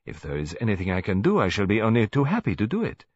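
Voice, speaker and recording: A polite detective acted by a male narrator, formal and delicate. The voice is slightly husky, in a dry, dull storybook recording. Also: fairly low pitch, huge pitch swings, fast talker, dry recording, exaggerated voice. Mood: tactful.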